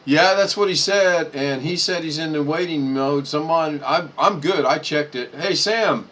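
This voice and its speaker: A man's voice: fairly high pitch, normal speed, a bit bright. A man hosting a casual video chat, talking easily while he works through the screen. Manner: easygoing, talks while working the screen.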